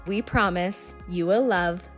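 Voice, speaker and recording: A woman's voice: fairly low pitch, slow, faint music behind. A woman reading a scripted advertisement in an upbeat, persuasive way. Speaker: ad voice-over. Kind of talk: scripted ad read.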